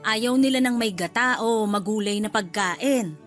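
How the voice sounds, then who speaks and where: Fussy nosy mother-in-law, woman acting it, radio drama, kinda high voice, soft music behind. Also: measured pace, lightly acted. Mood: chatty. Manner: chatty, fussing, needling under politeness. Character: meddling middle-aged mother-in-law, sweetly critical.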